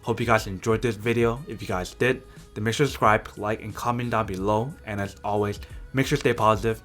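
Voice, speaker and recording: A man's voice: lowish voice, steady tone, brisk, fairly bright tone, faint music behind. A man casually unboxing and reviewing a gadget, chatty and addressing viewers directly. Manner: chatty, keeps addressing viewers as "you guys". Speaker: product reviewer.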